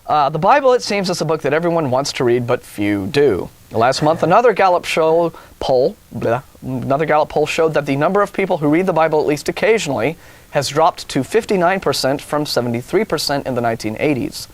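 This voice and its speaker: A man's voice: on the high side, expressive, a little fast. An American man co-hosting a talk show, reading out news items with casual, wry and mocking asides. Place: TV studio.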